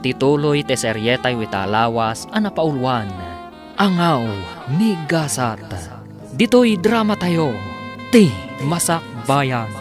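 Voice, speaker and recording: A man with an Ilocano Filipino accent reading scripted narration as the announcer of a radio drama. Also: on the high side.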